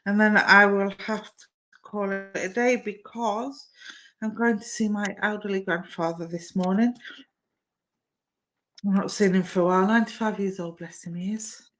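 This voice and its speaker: A woman's voice: mid-range voice, fairly bright tone. Chatty woman giving live readings. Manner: chatty and affectionate, says "my darling" often. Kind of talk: live-stream card reading to viewers.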